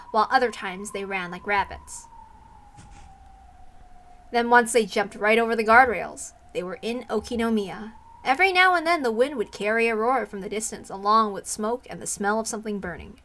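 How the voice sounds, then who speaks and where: A woman's voice: fairly high pitch, normal speed, a bit bright. A woman streamer reading a visual novel aloud as dramatic narration, speaking close to the microphone.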